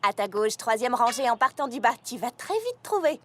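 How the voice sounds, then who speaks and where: A woman's voice: on the high side, sing-song, moderate pace, fairly bright tone. A woman voicing a kind, studious young female pony who teaches patiently in a French cartoon dub. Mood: gently firm. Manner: encouraging, instructive. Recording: music bed under the voice.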